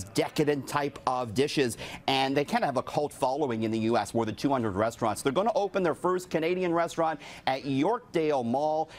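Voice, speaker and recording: A man in his thirties, a business editor, giving a chatty newscast report from a radio studio. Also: fairly high pitch. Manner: chatty, joking banter between reports.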